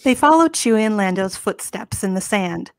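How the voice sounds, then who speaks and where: A woman's voice: mid-range voice, lively intonation, moderate pace, no echo. A woman reading scripted stage directions as narrator of a comedy table read. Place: home room. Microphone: home video call.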